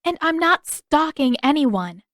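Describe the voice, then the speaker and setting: A woman's voice: high-pitched, very animated, unhurried, a bit bright, no echo, voice pushed up, exaggerated voice. A woman voicing a stubborn, sly teenage girl in an audiobook story, emphatic and exclaiming. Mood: indignant. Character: indignant teenage girl, stubborn, slyly cheerful schemer.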